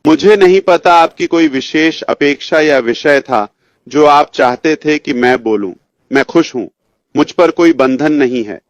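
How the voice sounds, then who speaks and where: Male executive, fifties, medium pitch, slow informal motivational address to members, dry close sound. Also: no echo. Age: 50s. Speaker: company chief executive giving an update. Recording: dull lo-fi audio, dry.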